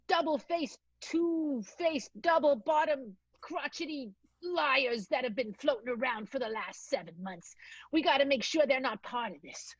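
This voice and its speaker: A woman's voice: sits high, husky, no echo, heavily acted. A woman playing a plucky, gutsy young vaudeville performer with perky, emphatic old-time talk in an improvised radio play, on a dull, dry recording.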